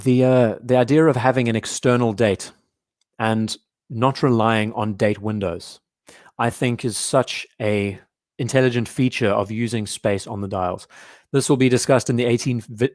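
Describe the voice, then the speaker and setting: A young man hosting a live watch show from home in casual commentary, his voice hoarse and strained, often clearing his throat. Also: lowish voice, fairly even intonation, normal speed, darkish tone, no room sound, studio quality.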